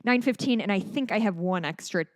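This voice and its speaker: A woman's voice: medium pitch, animated, fairly quick, no room sound. A woman from Chicago co-hosting a podcast, chatty with joking asides, reading listener reviews aloud. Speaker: podcast co-host. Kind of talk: casual podcast chat.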